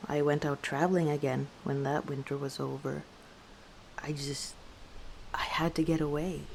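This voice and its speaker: A woman playing a guarded, gently wry orc tavern owner in an intimate ASMR roleplay, speaking reflectively with hesitant pauses. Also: low voice, vocal fry, slight background noise, exaggerated voice, low-quality recording. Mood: subdued, rueful. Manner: unhurried, reflective, hesitant pauses, soft chuckles.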